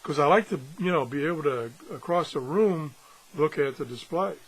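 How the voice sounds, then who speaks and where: A man's voice: fairly high pitch, lively intonation, normal speed, breathy edge, poor audio. A man giving a casual, unscripted product demonstration as a reviewer, rambling and thinking aloud with broken-off asides. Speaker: radio reviewer.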